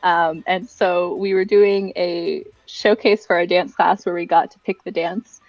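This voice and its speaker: A young woman with a slightly lower voice chats in a giggly, rambling way, sharing stories about herself. The sound is dry and a little dull, like lo-fi livestream audio. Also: fairly even intonation, a little slow, no room sound. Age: young adult. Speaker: livestream guest, event director and dancer. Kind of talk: casual livestream chat, telling personal stories.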